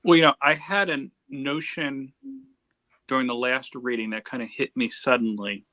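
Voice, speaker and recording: A man with a mid-pitched, bright and forceful voice chats as a livestream panelist. He talks casually and tends to ramble into side stories. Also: steady tone, normal speed, dry recording. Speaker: panelist on a comics discussion stream. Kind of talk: casual chat. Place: remote group livestream.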